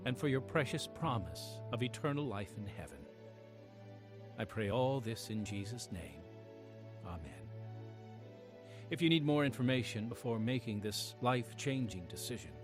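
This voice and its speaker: A man reading a scripted radio announcement as program host, with an earnest, measured delivery. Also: mid-range voice. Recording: music bed behind the voice. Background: music.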